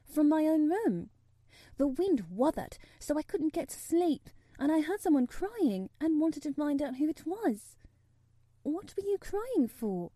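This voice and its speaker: A forthright, curious young girl in a storybook, acted out by a woman. Her voice is high and swings up in emphatic, wondering questions, with a touch of fright, in a close, dry sound. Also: sing-song, unhurried, warm tone, no room sound. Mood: curious, surprised. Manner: gasping, faltering, emphatic questions.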